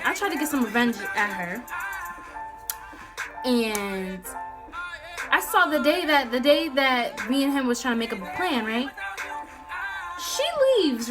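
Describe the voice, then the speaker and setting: A young woman with an American accent and a very high-pitched voice tells a casual story straight to her viewers. She is animated and slangy, slipping into acted-out dialogue as she goes. Music plays along behind her voice. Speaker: storytime vlogger.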